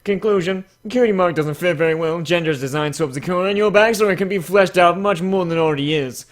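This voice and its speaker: A man voicing a stern, articulate critic who gives measured, formal judgements in a comic dramatic reading. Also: high voice, lightly acted. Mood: measured, critical.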